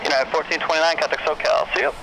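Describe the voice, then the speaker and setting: A man's voice: fairly high pitch, level tone, racing. A man working as an air traffic controller, giving clipped procedural instructions over a noisy aviation radio. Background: aircraft and radio noise.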